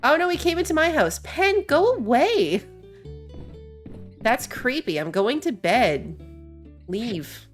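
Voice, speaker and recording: A woman's voice: sits high, sing-song, slow, pauses often. A woman giving casual let's play commentary, thinking aloud as she plays. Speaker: gaming video host. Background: game music.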